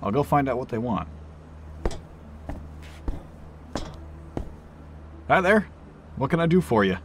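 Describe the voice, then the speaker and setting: A man's voice: mid-range voice, sing-song, fast talker, background noise. A man playing a friendly, chatty train attendant in an audio drama, with a casual, teasing manner. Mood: breezy, casual. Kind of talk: naturalistic audio drama acting.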